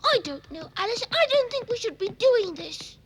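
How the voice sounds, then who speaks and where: A woman's voice: very high voice, big pitch swings, moderate pace, a bit bright, a little hiss or hum, full character voice. A woman voicing a timid little male rabbit in a cartoon, anxious, childlike and given to pleading and wisecracks. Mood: reluctant. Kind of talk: animated cartoon dub acting.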